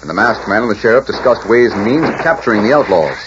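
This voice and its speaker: A man's voice: lowish voice, animated, quick pace, background noise. A man narrating a 1940s radio drama in a dramatic, stirring scripted style. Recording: dull 1940s radio recording.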